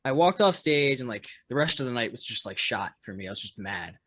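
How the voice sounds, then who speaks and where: A man, a musician guest on a podcast, with a loud voice, telling a story in casual chat full of fillers and restarts. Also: medium pitch, lively intonation, brisk, slightly rough, no echo. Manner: rambling, lots of fillers and restarts, laughs often.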